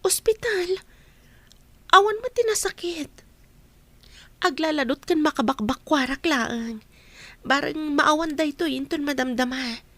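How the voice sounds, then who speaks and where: A woman acting in an Ilocano radio drama as a young, gentle married woman, speaking with a Filipino Ilocano accent. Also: high-pitched, a little slow, pauses often, dry recording. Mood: familiar. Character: young married woman Joy, gentle, respectful granddaughter-in-law.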